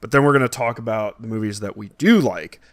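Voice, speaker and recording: A man's voice: lowish voice, fast, no room sound. Casual man podcasting, close dry sound. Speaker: podcast co-host. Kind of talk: casual chat about films and games.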